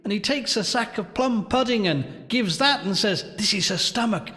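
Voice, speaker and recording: A man voicing an excited know-it-all child in a horror story, explaining eagerly and gleefully. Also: high-pitched, moderate pace, pitched above the performer's own voice. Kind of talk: dramatic horror story reading. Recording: long cavernous reverb.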